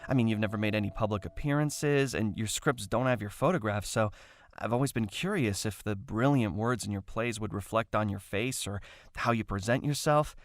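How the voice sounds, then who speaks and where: A man's voice: on the low side, moderate pace, no echo, lightly acted. A man playing an eager, polite young actor in an audio drama, gushing in wordy, earnest sentences. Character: eager, starstruck young actor gushing over his idol.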